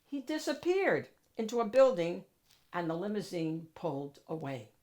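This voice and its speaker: A woman reads a children's picture book aloud as host, with expressive, dramatic emphasis. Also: lowish voice, moderate pace. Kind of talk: animated storybook narration for children.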